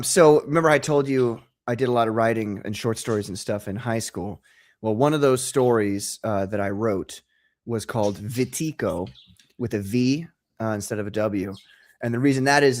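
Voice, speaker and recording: Enthusiastic, rambling male comic creator. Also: medium pitch. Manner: enthusiastic storyteller, rambling, laughs easily. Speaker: guest, comic book creator. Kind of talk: casual interview chat.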